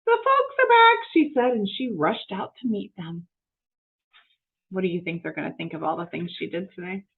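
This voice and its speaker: A woman reading a picture book aloud to children, expressive and explanatory, pausing to ask questions. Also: lowish voice, creaky, breathy edge. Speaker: children's story reader. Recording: muffled narrow phone-like audio.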